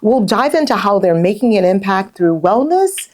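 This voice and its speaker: Podcast host: woman, American accent, medium pitch, polished presenter delivery. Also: sing-song, moderate pace.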